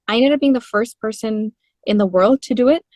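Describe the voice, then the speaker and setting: Guest singer-songwriter, woman in her twenties from India, animated storyteller, mid pitch, dry close mic. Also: fairly quick, dry recording. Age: 20s. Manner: animated storytelling with frequent laughs and fillers. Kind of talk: conversational interview.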